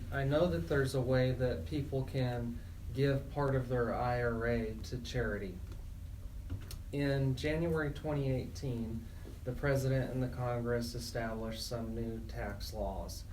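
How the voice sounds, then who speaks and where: A man co-hosting a radio show as an attorney, explaining things in a measured, plain way. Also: medium pitch, fairly even intonation, darkish tone, distant mic, a little hiss or hum, poor audio. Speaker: attorney co-host.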